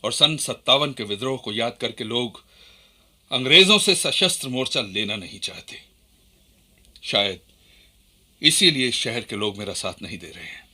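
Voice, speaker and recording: An actor playing a fervent Indian freedom fighter in a radio play, with an impassioned, declamatory delivery. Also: male voice, mid-range voice, animated, moderate pace, pauses often, bright, forceful tone. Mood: earnest, resolute.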